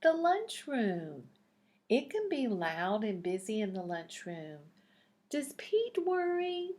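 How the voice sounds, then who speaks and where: A woman's voice: mid-range voice, sing-song, slow pace, a little mellow. A woman reading a picture book aloud to young children in a teacherly way, putting questions to the listeners.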